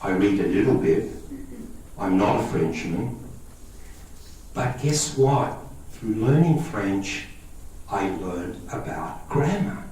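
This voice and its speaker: A man's voice: lowish voice, animated, slow pace, long pauses, a little mellow, distant mic, a little hiss or hum. Greek-born man talking in an echoey hall. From Australia. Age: 50s. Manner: conversational, checks in with listeners. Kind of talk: public talk to a lay audience.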